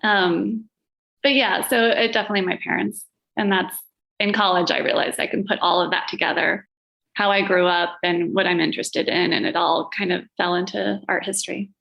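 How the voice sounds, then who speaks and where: Chatty woman with a gravelly fry. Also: mid-range voice, steady tone, moderate pace, highs cut off. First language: Latvian. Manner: chatty, laughs often, self-deprecating asides. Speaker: gallery owner and curator, podcast guest. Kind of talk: casual interview chat.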